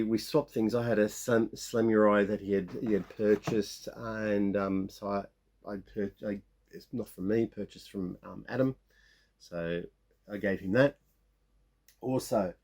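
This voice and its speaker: A man in his fifties with a croaky sore-throat voice, rambling casually as he shows off his toy finds. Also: on the low side, a little mellow. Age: 50s. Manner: rambling, many restarts and fillers. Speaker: toy collecting vlogger. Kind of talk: casual show-and-tell of toy haul.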